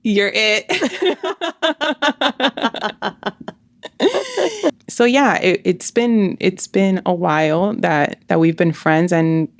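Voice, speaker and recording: A woman's voice: mid-range voice, drawn-out words, pauses often, no echo. A woman hosting a podcast, chatting casually and bluntly with a close friend. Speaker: podcast host.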